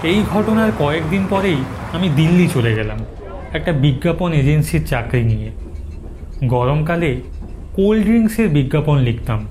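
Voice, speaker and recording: A man narrating a horror story in the first person, dramatic and suspenseful, with deliberate pauses. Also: a little high, sing-song, background noise. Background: music under the narration.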